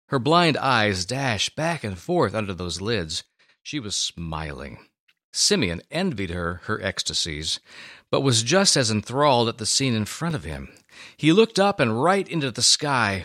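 A man narrating a scripted dramatic story with deliberate phrasing and dramatic pauses. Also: on the low side, pushed and bright, dry recording, clean studio sound.

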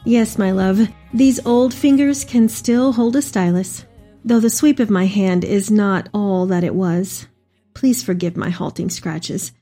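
A woman's voice: medium pitch, unhurried, mellow, soft background music. A woman playing an elderly, tender mother reading her letter aloud in a reflective dramatic reading. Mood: tender, fond.

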